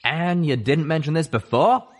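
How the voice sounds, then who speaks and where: A man's voice: fairly high pitch, expressive, normal speed, pitched above the performer's own voice. A man voicing an easygoing pegasus stallion who talks in a laconic, wry way.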